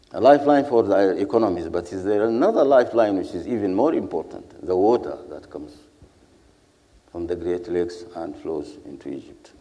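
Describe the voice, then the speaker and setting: An older man with a Sudanese accent speaking as a panelist, in a measured, deliberate way with earnest rhetorical repetition. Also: deep voice, dark tone. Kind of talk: panel speech, earnest public address. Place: seated on a stage in a large hall. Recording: echoey hall with long reverb.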